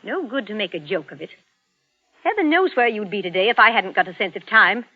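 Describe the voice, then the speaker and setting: An actress playing a domineering, scornful wife in an old-time radio drama, with an imperious, scolding manner. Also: female voice, mid-range voice, lively intonation, brisk, faint music behind, lightly acted. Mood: dismissive. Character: bossy, nagging middle-class wife, scornful and domineering. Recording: muffled old radio recording.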